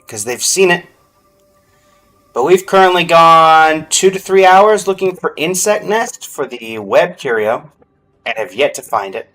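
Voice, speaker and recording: A man's voice: a little high, fairly bright tone. A man doing casual, chatty livestream commentary on a video game, speaking directly to his viewers. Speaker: live streamer.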